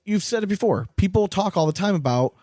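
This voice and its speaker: A man's voice: high voice, expressive, quick pace, dark tone, no room sound, studio quality. A man co-hosting a sports podcast in casual banter, with a blunt, slangy manner. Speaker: podcast co-host.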